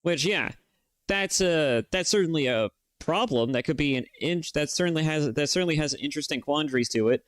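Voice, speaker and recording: A man from the United States rants and reflects in loose, casual commentary. His words come out full of swearing, "you know"s and restarts. His voice is clear and resonant, recorded close and dry with no room sound. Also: on the high side, lively intonation, fairly quick, dry recording. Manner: opinionated and profane, with many fillers and restarts. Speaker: reaction video host.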